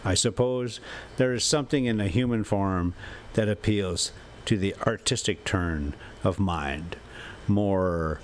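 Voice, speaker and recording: A man reading a classic story aloud in halting, deliberate phrases, with offhand asides. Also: sits low. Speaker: story reader and channel host. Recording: steady background noise while on the road. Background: motorhome driving ambience.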